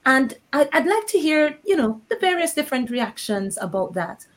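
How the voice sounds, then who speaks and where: A woman hosting an online panel discussion, reading from the chat and passing the floor to guests in a measured, inviting manner. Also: high voice, big pitch swings. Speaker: host.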